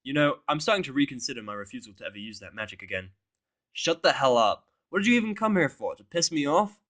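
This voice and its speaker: A man's voice: medium pitch, animated, fast talker, a bit bright, close to an everyday voice. A grumbling, cynical human played by a man reader in a fantasy audio story. The delivery is blunt and sarcastic, in a middle register. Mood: annoyed. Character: surly, foul-mouthed, cynical human in pony world.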